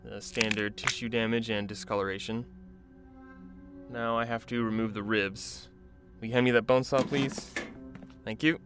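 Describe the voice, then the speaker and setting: A man's voice: fairly low pitch, fairly even intonation, normal speed, long pauses, music in the background, lightly acted. A man playing a driven, secretive and resourceful research doctor in an audio drama. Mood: clinical, matter-of-fact.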